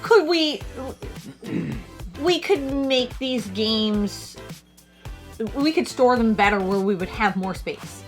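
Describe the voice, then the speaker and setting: Woman in her forties, slightly high voice, chatty, explains numbers, casual co-host chat. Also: lively intonation, slow pace, pauses often, faint music behind. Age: 40s. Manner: chatty, lays out figures and averages. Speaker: co-host of a retro gaming channel.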